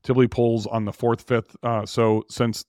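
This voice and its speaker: A man hosting his own show, talking casually and in a rambling, self-correcting way with a slightly raspy, tired voice. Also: medium pitch, level tone, moderate pace, darkish tone, no echo, studio quality. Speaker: podcast host. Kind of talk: casual solo talk on real estate finance.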